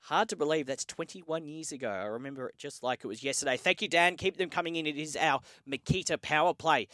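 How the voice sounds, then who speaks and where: Australian sports radio host, dry studio. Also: male voice, a little high, bright, forceful tone, no room sound. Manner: brisk, reads texts and weather, repeats phone numbers. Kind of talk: radio sports news and phone-in chat. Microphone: studio microphone.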